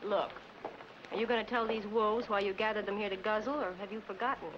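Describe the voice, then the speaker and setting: An actress playing a breezy, slangy party guest in a 1940s film comedy. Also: female voice, mid-range voice, steady tone, quick pace, natural voice. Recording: noisy muffled 1940s film soundtrack.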